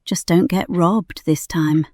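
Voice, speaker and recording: A woman playing a brisk medic team leader in an audio drama, firm and dry-witted. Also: on the low side, fairly even intonation, a little mellow, no room sound.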